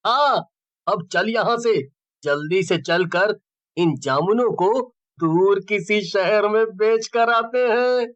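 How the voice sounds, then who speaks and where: A greedy young village schemer in a cartoon story, acted by a man. He is cocky and boastful, with a high, bright voice and an unhurried pace. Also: dull audio. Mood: conspiratorial.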